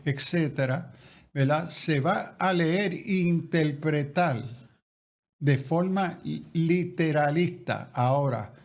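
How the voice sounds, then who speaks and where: Slow, careful pastor explaining theology. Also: male voice, a little high. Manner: slow, careful, lecturing with restarts. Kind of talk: explanatory interview answers.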